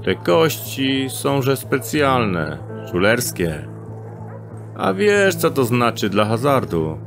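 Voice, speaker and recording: A scheming thief, acted by a man, in an audio drama, coaxing in old-fashioned, stilted phrasing. His pitch swoops about and he drags his words out slowly. Faint music plays underneath. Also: big pitch swings. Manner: archaic, stilted speech, sly and persuasive. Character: shifty male thief Młot, archaic wheedling schemer.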